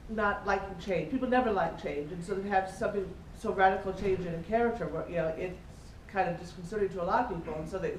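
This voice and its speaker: A woman's voice: mid-range voice, a little hiss or hum. A woman speaking on a convention panel as an organizer, earnest and opinionated. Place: large convention hall. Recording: echoey hall, far from mic.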